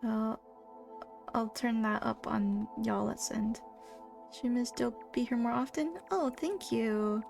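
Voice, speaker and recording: A young woman talks casually while she plays, her voice slightly high and full of vocal fry. She speaks in a soft, fairly monotone way. Clear game music plays behind her. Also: slow. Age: young adult. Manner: soft-spoken, chatty, calls her voice monotone. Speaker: streamer. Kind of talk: casual live-stream chat while gaming.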